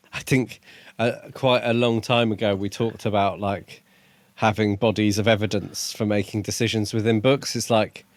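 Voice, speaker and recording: A man's voice: lowish voice, flat delivery, moderate pace, dry recording. A man co-hosting a casual book podcast, speaking in a tentative, agreeable way. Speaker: podcast co-host.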